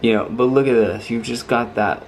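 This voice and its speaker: A man's voice: mid-range voice, steady tone, moderate pace, a little hiss or hum, low-quality recording. A man giving an informal, rambling hands-on show-and-tell as a hobbyist, with an enthusiastic, marveling manner. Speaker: vintage radio hobbyist presenting a video.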